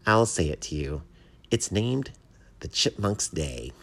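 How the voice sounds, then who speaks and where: A small poet bat from a children's storybook, voiced by a man, gentle and earnest. The delivery is thoughtful and modest, with a husky edge and a very lively rise and fall. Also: low voice, takes it slowly, pitched above the performer's own voice, exaggerated voice. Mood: happy. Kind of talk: children's storybook read aloud.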